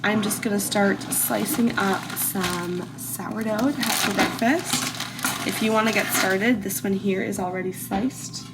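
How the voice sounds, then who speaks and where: A woman's voice: mid-range voice, fairly even intonation, measured pace. Chatty woman with a slightly stuffy cold. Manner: chatty and rambling, with many "like"s and restarts. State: slightly congested from a lingering cold. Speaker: vlogger mom cooking for her family. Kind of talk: casual cook-with-me chat. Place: home kitchen, indoors. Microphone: camera set in a kitchen cupboard. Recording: clear background room noise.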